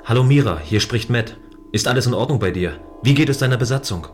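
A man playing a gentle, dutiful guardian of a space station in a science fiction audio drama, speaking in a considerate, earnest, explaining way. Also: mid-range voice, lively intonation, fast talker, faint music behind, close to an everyday voice. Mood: friendly concern.

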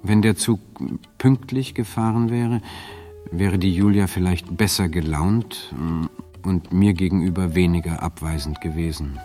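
A man's voice: deep voice, unhurried, warm tone, faint music behind. An actor playing a lonely, awkward man in an audio drama, hesitant and self-justifying as he defends himself. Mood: sheepish, defensive. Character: defensive, lonely male dream-therapy client, awkward romantic. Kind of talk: German audio drama dialogue.

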